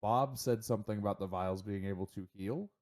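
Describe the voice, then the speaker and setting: A man acting as game master, narrating casually and haltingly as he thinks aloud. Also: lowish voice, darkish tone.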